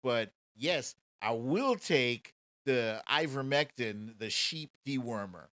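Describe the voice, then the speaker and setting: A man's voice: medium pitch, expressive, slow pace, bright, forceful tone, no room sound, studio quality. A man hosting a casual political commentary livestream in a sarcastic, mocking and profane manner. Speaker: show host.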